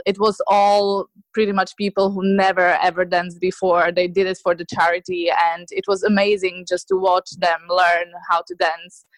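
A woman's voice: lowish voice, no room sound, poor audio. A woman in her thirties from Slovakia, answering interview questions about her dance group in a chatty way, with many fillers and restarts. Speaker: guest, dance teacher.

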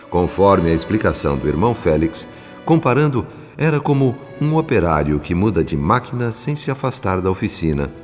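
A Brazilian man narrates a radio play in a solemn, literary style. His voice is very deep and carries a long reverberant tail, with music underneath. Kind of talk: scripted dramatic radio drama narration.

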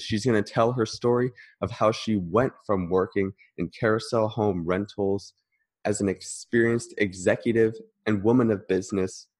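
A man hosting a business interview show, speaking in an upbeat, energetic way. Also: on the low side. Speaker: host.

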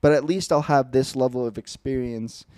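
Earnest young man, dark smooth voice. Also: mid-range voice, normal speed. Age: 20s. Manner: earnest, reflective storytelling. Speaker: podcast co-host. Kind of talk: casual chat.

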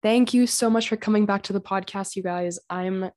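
Young woman host with a slightly raspy throat. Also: medium pitch, steady tone, moderate pace, darkish tone, faint music behind. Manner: chatty and earnest, with fillers and small laughs. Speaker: podcast host. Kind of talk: casual solo podcast talk. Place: home.

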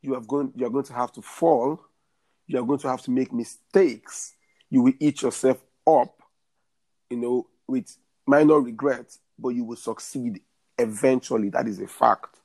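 A man's voice: medium pitch, normal speed, darkish tone. A man in his thirties, a guest in an interview, giving earnest career advice and often repeating words as he builds a point. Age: 30s.

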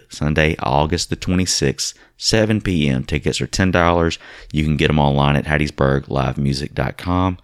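A very low-voiced man hosts a casual radio show. He rattles off upcoming events in chatty promo patter, and his voice sits close and dry in the studio mic. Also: smooth, no room sound. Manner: upbeat promo patter, halting when asking questions.

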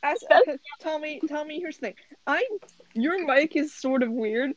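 A young woman hosting a casual call with friends, telling stories excitedly, swearing and giggling as she talks. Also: high-pitched, normal speed, lo-fi sound. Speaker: host of a friends' chat. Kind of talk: casual chat. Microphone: home computer mic on a call.

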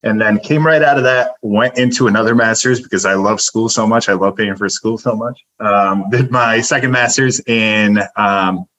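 A young man from Turkey, a native Turkish speaker, speaks in a very low voice. He tells his story in a detailed, earnest way, as a dietitian guest on a podcast. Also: steady tone, normal speed, hardly any pauses, fairly bright tone, rough recording. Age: young adult. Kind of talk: podcast interview.

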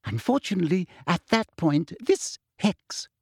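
Male reader playing a smug, sugary female official, raised pitch, soft and prim, audiobook. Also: big pitch swings, measured pace, vocal fry, husky, dry recording, studio quality, exaggerated voice. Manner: sickly sweet, smug, impatient.

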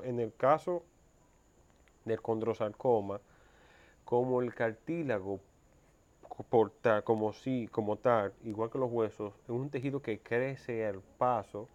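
A man's voice: on the low side, darkish tone, dull audio. A man in his thirties with a Dominican accent, speaking as an interview guest in an explanatory, conversational way. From Dominican Republic.

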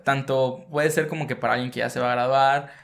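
A young student host, interviewing casually, relaxed and teasing, with plenty of slang. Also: mid-range voice, brisk, gravelly. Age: young adult.

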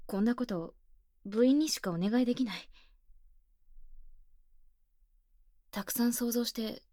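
A woman playing an earnest, bookish high-school club president with stiff, boyish phrasing, speaking softly and close in a Japanese ASMR voice drama. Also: fairly quick, no room sound, natural voice. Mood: shy, pleading. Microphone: close binaural microphone, dry.

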